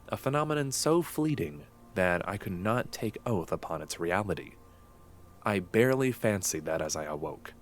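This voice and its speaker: An actor playing a brooding, obsessed young man who narrates his morbid secrets in solemn, archaic, literary language, in a gothic horror audio drama. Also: sits low. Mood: brooding, hushed awe. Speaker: narrator and lead character.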